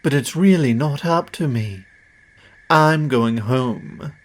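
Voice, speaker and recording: A man's voice: mid-range voice, takes it slowly. A man playing a cocky, condescending, powerful demon who makes deals, performed as a theatrical audio roleplay spoken straight to the listener. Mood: resigned, blunt.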